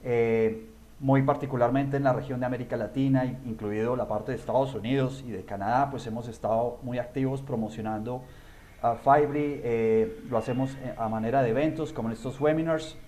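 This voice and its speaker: A man co-hosts a webinar over a video call. He talks at a middling pitch, in a halting way, leaning on "eh" between phrases. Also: fairly even intonation, warm tone. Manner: halting, many "eh" fillers. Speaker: webinar co-host. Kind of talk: webinar presentation.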